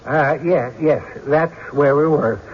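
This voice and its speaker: A slightly high male voice plays a rustic witness in an old radio courtroom drama. He talks slowly and haltingly, stammering as he dodges and defends himself. The recording is dull, with faint noise. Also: slightly rough. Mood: hedging, uneasy.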